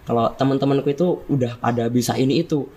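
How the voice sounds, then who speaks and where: A teenage boy with a Javanese accent, a student guest on a school podcast, explaining things at length in a relaxed way. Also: mid-range voice, quick pace. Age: teens. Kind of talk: casual podcast chat.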